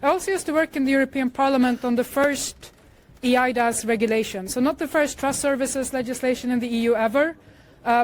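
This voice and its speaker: A woman from Sweden giving a conference talk into a handheld microphone, explaining in a measured way with frequent filler sounds. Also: on the high side, a little mellow. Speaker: conference speaker. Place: conference room. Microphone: handheld microphone shared with co-speaker.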